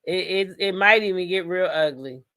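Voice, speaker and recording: A woman's voice: lowish voice, normal speed, a bit bright. An American woman doing casual live gossip commentary as host, sassy and emphatic, often repeating phrases for emphasis.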